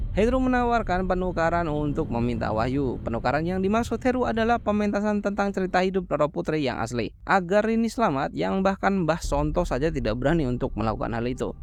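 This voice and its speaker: Guy doing a movie recap, high voice that jumps around a lot, cracks jokes between plot points, bit of hiss behind. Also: big pitch swings, gravelly. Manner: brisk storytelling with slangy joking asides. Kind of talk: casual movie recap narration.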